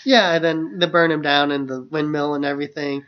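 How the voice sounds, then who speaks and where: A man's voice: on the high side, steady tone, a little fast, lo-fi sound. A man co-hosting a podcast, chatting casually about films in loose phrasing peppered with "like". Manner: loose, filler-heavy phrasing with frequent "like". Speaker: podcast co-host. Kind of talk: casual film discussion.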